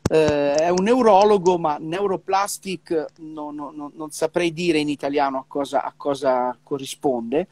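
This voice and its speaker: A man's voice: fairly high pitch, unhurried, few pauses, darkish tone. A middle-aged man chatting casually as a trumpeter on a video call, often repeating syllables as he searches for words. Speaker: professional trumpeter. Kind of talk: casual conversation about music practice. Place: indoor room, remote video call. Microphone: wired earphone mic on a video call.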